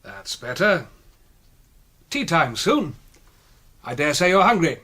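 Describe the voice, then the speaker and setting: Suave, high-pitched English gentleman villain. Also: big pitch swings, slow, heavily acted. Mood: urbane, teasing. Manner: urbane, polite, drily teasing. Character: suave, faintly menacing English gentleman with a gun. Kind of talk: British radio drama acting.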